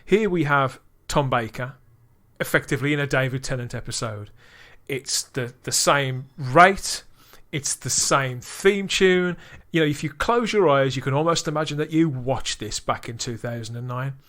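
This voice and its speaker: A man's voice: medium pitch, normal speed, fairly bright tone. A man hosting a podcast, thinking aloud in long, musing sentences during a casual discussion. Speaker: podcast host.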